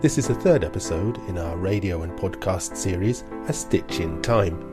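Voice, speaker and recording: Low-voiced male radio host. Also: animated, over music. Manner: gentle, measured questioning. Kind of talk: studio interview. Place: radio studio.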